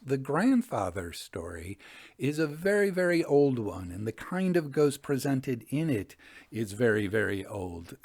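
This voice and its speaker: A man reads old-fashioned tales aloud as a storytelling host. His delivery is measured and literary, with a very animated pitch that rises and falls widely around a middling register. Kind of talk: reading an old ghost story aloud, with commentary.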